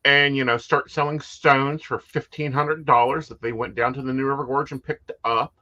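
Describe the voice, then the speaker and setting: A woman's voice: extremely low pitch, fairly even intonation, moderate pace, pushed and bright, dull audio. A woman from the United States hosting a casual psychic talk show.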